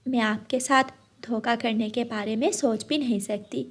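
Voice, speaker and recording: A woman voicing a gentle, sincere, long-suffering female bird in a cartoon story, speaking earnestly and softly. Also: a little high, fairly even intonation, normal speed, lightly acted.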